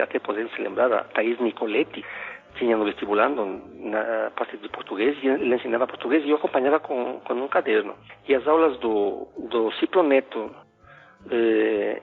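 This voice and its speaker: Spanish-accented man, gravelly and husky. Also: medium pitch. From Ecuador. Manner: halting, many restarts and fillers. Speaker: philosophy professor, podcast guest. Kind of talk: casual conversational storytelling. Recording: phone-like narrow sound.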